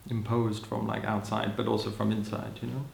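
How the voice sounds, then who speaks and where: A man in his thirties who grew up in Hong Kong, speaking as an interviewee in a thoughtful, musing way, often adding "you know" and soft laughs. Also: on the low side, completely flat delivery, a little slow, gravelly, slight background noise. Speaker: interviewee, social anthropologist. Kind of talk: reflective personal account. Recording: roomy echo.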